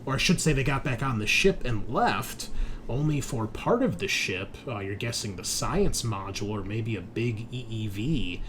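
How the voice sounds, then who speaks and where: A man's voice: medium pitch, a bit bright, a little hiss or hum. A man working as game master, recapping a tabletop game session in an informal, conversational storytelling way.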